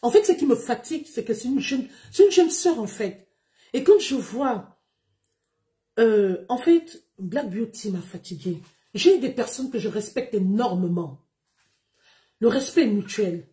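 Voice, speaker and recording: Slow, emphatic woman. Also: on the high side, lively intonation, mellow. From Gabon. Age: 30s. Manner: emphatic, declamatory, deliberate. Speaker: live streamer. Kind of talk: livestream monologue to followers.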